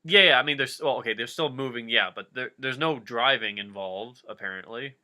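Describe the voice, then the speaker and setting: A man's voice: mid-range voice, fairly quick, pushed and bright. A man giving a comedic reading of fan fiction, breaking off into casual, jokey asides.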